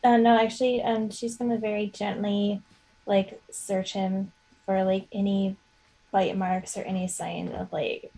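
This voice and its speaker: A woman's voice: medium pitch, little pitch movement, unhurried, vocal fry, dark tone, low-quality recording. A woman playing a tabletop role-playing game, chatting casually as she works out her character's actions.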